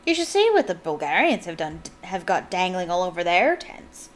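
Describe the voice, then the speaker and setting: A brash, proud Irish mother, as a woman puts her on, talks in a put-on Irish accent with a pushy bite. It comes from a storybook read aloud, loud and full of national pride. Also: fairly low pitch, sing-song, moderate pace.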